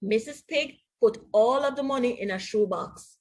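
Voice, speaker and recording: A woman with a Jamaican accent reading a storybook to young pupils as their teacher, in an expressive, deliberate way. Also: a little high. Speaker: primary school teacher. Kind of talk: read-aloud storybook lesson for young children.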